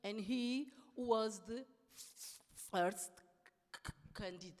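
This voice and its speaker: A woman, a politician and activist, speaking slowly with frequent stuttering, pauses and restarts. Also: a little high. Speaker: politician and anti-racist activist. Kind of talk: panel talk. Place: large hall at a public event. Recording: long hall reverb.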